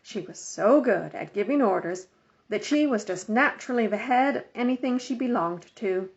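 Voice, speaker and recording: A woman narrating a children's book aloud in an expressive, scripted storytelling style. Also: on the high side, moderate pace. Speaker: storybook reader. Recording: dull lo-fi home recording.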